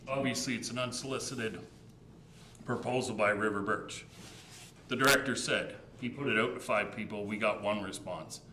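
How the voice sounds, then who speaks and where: Bright-voiced man councillor explaining. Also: lowish voice, normal speed. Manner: explanatory, matter-of-fact. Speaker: town councillor. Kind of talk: council meeting debate. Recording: echoey room with faint noise.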